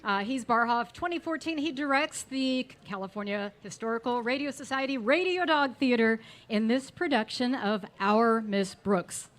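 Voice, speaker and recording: Higher-voiced woman presenting live. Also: moderate pace, few pauses. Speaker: event presenter. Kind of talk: casual live introduction with banter. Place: live before an audience.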